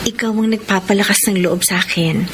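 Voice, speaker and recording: An actress playing a devoted wife of a gravely ill man, speaking in an earnest, pleading way thick with emotion, in a radio drama. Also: medium pitch, steady tone, a little fast, background noise, close to an everyday voice. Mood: tender. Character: devoted wife of a sick man, tender and tearful. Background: music.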